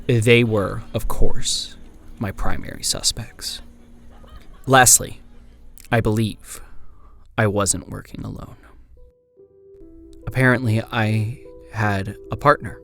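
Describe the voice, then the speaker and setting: A man playing an amnesiac old-time detective, narrating a grim first-person account in a horror audio drama in a measured, solemn way. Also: on the low side, fairly bright tone. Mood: wary. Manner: measured, solemn, literary delivery. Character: amnesiac former Pinkerton detective writing a grim final account.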